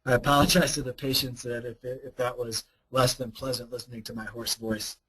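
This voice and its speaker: A hoarse man, a researcher, answering webinar questions in a measured, explanatory way. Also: mid-range voice, level tone, a bit bright, poor audio.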